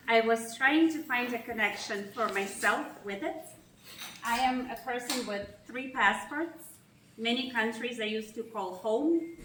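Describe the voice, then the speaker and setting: A woman's voice: fairly high pitch, bright, forceful tone, far from the mic, slight background noise. Russian-accented woman speaking in an echoey hall. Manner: measured, emphatic delivery with pauses between phrases. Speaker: institute president giving opening remarks. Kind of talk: formal speech at a reception. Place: large reception hall with an audience. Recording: echoey hall, voice heard from afar.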